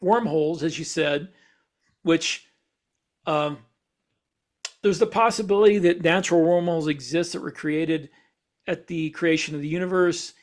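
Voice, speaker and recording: A man co-hosting a casual podcast, explaining in a halting way and repeating words as he works out his thoughts. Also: fairly high pitch. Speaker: podcast co-host and science fiction author.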